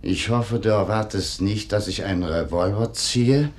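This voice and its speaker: An actor playing a sardonic, easily exasperated husband nearing seventy in a 1950s German radio play. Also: male voice, on the low side, normal speed, lightly acted. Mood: stunned, bitterly dry. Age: 60s. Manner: sardonic, exasperated, dramatic swings of delivery. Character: indignant elderly betrayed husband, sardonic and unnerved.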